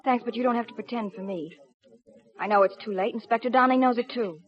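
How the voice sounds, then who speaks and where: A woman's voice: on the high side, fast, lightly acted. An actress playing a worried, despairing young woman in a 1950s radio drama. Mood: anxious, resigned. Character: anxious, despairing young woman fearing for her friend. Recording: muffled 1950s radio recording.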